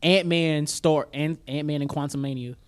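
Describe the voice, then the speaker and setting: Higher-voiced American man, emphatic and slangy. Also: steady tone, normal speed. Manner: slangy, emphatic, repeats phrases. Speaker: podcast co-host. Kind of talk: casual chat about film trailers and news.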